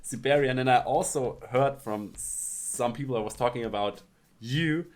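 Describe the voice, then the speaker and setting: A young man with a German accent hosts a relaxed interview, chatty and quick to laugh, with loose phrasing. His voice sits at a medium pitch, coming through a video call. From the Alps. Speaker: podcast host. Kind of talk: casual online interview.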